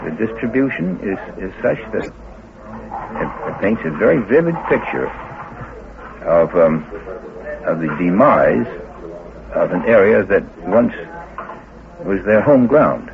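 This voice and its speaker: A man with a very deep voice gives expert answers in an interview. He builds sentences haltingly, in deliberate short bursts. The sound is phone-like, with noticeable chatter in the background. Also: expressive, vocal fry. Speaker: scientist interviewee. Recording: phone-like old recording, chatter behind.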